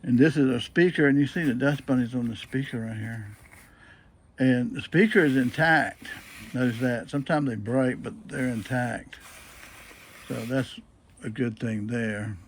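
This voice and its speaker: A man with a husky voice gives a casual, unscripted demonstration, explaining things in a plain-spoken, halting way. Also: medium pitch, a little hiss or hum. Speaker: presenter showing a restored old radio.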